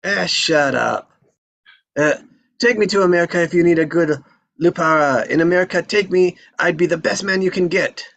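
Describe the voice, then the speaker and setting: A man playing a brash, eager young Sicilian bodyguard with a raspy voice in a live script table read. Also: sits high, normal speed, fairly bright tone, highs cut off, slight background noise. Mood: excited, pleading. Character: excitable young Sicilian bodyguard, brash, pleading and star-struck by America.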